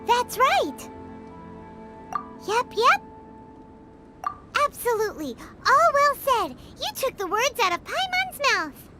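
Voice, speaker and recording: A woman playing a tiny, chatty, excitable childlike companion in a video game, squeaky and theatrically exclamatory. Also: takes it slowly, long pauses, heavily acted. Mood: flattering. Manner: refers to herself in the third person, exclamatory, theatrical. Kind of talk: video game character dialogue. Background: game music.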